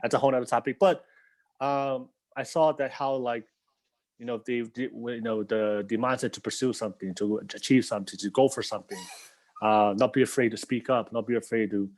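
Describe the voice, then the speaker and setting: A young man from Tonga hosts a relaxed podcast conversation in a middle-pitched voice. He meanders through his questions with plenty of fillers and breaks into laughter often. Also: fairly quick. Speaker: podcast host. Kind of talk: casual interview chat.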